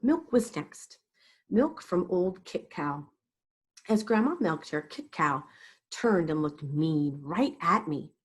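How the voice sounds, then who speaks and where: Expressive woman reading aloud, slightly husky. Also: fairly low pitch, takes it slowly. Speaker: storyteller reading a picture book aloud to children. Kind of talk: expressive read-aloud narration.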